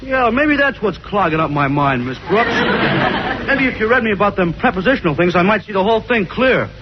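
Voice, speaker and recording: An actor playing a slow-witted, good-natured teenage schoolboy in a 1940s radio sitcom, guileless and dopey. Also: male voice, high-pitched, lively intonation, a loud crowd. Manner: dopey, guileless, unschooled grammar. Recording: noisy muffled old radio recording.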